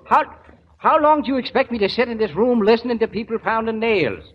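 A man's voice: very high-pitched, lively intonation, brisk. An actor playing a grumbling, reluctant but good-natured policeman in a 1970s radio drama, full of blustery, exasperated protest. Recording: dull, noisy old radio recording.

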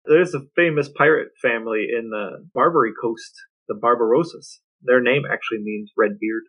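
A man a little way off the microphone, with a medium-pitched voice. He co-hosts a podcast, moving between mock-formal questions and casual chat. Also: moderate pace, recorded from a distance, lo-fi sound. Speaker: podcast co-host and interviewer.

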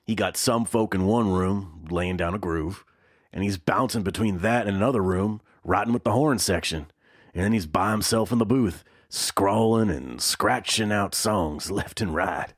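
A man's voice: low voice, moderate pace, no echo, clean studio sound. A man playing a wry veteran musician, folksy and salty, reminiscing in a mock documentary interview. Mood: nostalgic, admiring.

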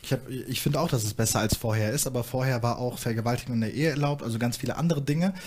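A man's voice: mid-range voice, fast talker, a little mellow. A young man on a studio panel, explaining his views earnestly with frequent fillers. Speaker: panel guest, activist. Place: studio stage with small audience.

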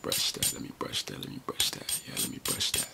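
An American man in his twenties doing a soft-spoken ASMR barber roleplay, murmuring slangy, repetitive reassurances close to the mic. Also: fairly quick. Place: small room indoors. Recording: noisy close mic with handling sounds.

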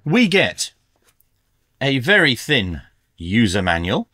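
A middle-aged man hosts a product review in a medium-pitched voice. His intonation moves up and down a lot as he presents. The sound is dry, with very little room echo. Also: wildly swooping pitch, takes it slowly, sharp tone. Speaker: YouTube host reviewing radio gear. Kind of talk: scripted product review with hands-on commentary. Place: small indoor electronics workshop.

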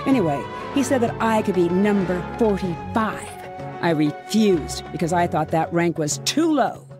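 A woman's voice: fairly low pitch, lively intonation, moderate pace, lightly acted. An actress playing a proud, bitter young woman researcher in a game, mocking and scornful, with short dry laughs. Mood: dismissive. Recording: game audio with music.

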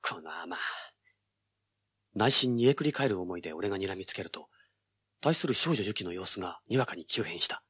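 An actor playing a cocky, hard-boiled young treasure hunter, brash and wry, in a dramatized audiobook. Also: male voice, low voice, expressive, moderate pace, pauses often, fairly bright tone, heavily acted. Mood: exasperated, wry. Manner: brash, wry, rough-spoken. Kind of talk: dramatized audiobook acting with first-person narration.

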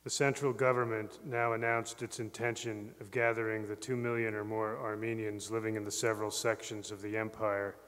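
A middle-aged man reads a historical account aloud at a podium in a grave, even manner. His voice is low and flat. It echoes through a large hall. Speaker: reader at a literary memorial. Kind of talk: reading a historical text aloud. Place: large ornate library hall. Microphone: podium mic on a stand. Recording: long hall reverb.

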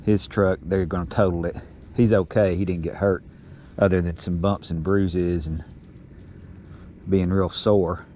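A man's voice: deep voice, unhurried. A middle-aged man chatting casually and folksily as he fishes from a small boat, talking into an action camera. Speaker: fishing vlogger. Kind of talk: casual chat while fishing. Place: outdoors on a small boat on calm water. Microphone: body-worn action camera. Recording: steady noise from outdoor action camera.

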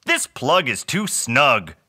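Man acting earnest storybook fellow, grumbly, emphatic, raised pitch, bright, drawn-out words. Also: big pitch swings, exaggerated voice. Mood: grumbling. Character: Bob, grumbling, earnest male log gatherer.

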